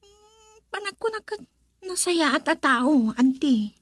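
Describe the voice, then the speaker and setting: A woman's voice: high-pitched, sing-song, slow pace, full character voice. A woman voicing a sweet, respectful young woman in love in an Ilocano radio drama, with an Ilocano Filipino accent. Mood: sincere, smitten. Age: young adult.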